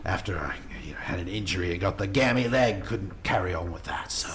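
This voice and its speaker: Man playing a deep-voiced old ex-copper. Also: expressive, fairly quick, noisy background. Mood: easygoing, modest. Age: older. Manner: rambling, hesitant, understated. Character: genial retired London policeman turned small-town caricaturist. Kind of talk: improvised mock-documentary interview.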